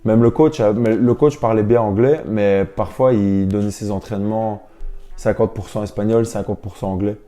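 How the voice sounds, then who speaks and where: Very mellow, low-voiced man. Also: fairly even intonation, moderate pace. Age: young adult. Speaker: professional basketball player, interview guest. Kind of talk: casual interview talk.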